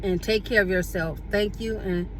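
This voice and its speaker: A woman in her forties talking casually to her viewers from inside her car, unhurried and reflective, with many fillers and self-corrections. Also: mid-range voice, fairly even intonation, fairly bright tone, slight background noise, poor audio. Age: 40s. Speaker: vlogger. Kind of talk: casual reflective talk to camera. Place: parked car.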